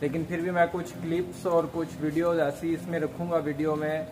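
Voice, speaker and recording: A man's voice: fairly high pitch, level tone, fairly quick. A young man. He explains things casually, mixing in English words, on a noisy phone recording made at arm's length in an open public area. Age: 20s. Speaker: vlog host. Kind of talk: casual vlog talk to camera.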